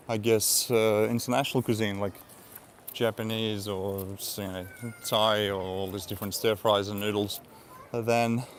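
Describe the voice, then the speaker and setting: A man's voice: lowish voice, a little hiss or hum. A man from Eastern Europe with an Eastern European accent in English, chatting casually on a live stream, rambling with many fillers and frequent laughs. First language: Russian. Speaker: vlogger.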